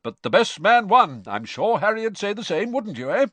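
A man voicing a loud, genial middle-aged wizard father in an audiobook, hearty and boastful. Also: sing-song, normal speed, no echo, full character voice. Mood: proud boasting. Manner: hearty, exclamatory, booming.

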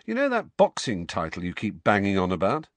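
Male reader as teenage boy wizard, storybook hero, defiant, needling, sharp-tongued, widely swinging intonation. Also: fairly low pitch, huge pitch swings, a little fast, no room sound. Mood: taunting.

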